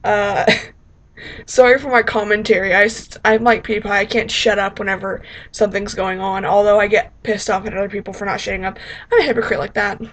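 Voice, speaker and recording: A woman's voice: medium pitch, steady tone, fairly quick, a bit bright. A woman vlogger chatting casually in a rambling, informal, self-deprecating way for a reaction video. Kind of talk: casual reaction vlog chat.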